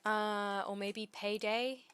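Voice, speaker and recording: Bright-voiced woman, dry and deadpan. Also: mid-range voice, takes it slowly, clear, resonant tone, no room sound. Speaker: streamer reading a script aloud. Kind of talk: deadpan reading and casual stream chat.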